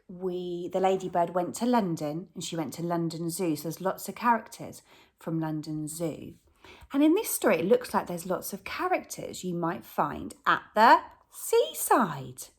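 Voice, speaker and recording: A woman teacher reading a picture book aloud to young children, gasping and asking them questions as she goes. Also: fairly low pitch. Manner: animated, gasps and asks the children questions. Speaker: primary school teacher.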